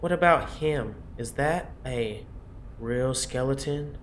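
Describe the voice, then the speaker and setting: Man voicing anxious game character, hesitant, questioning, mid-pitched, faint noise behind. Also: slow, close to an everyday voice. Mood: curious, casual. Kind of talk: visual novel read aloud in character.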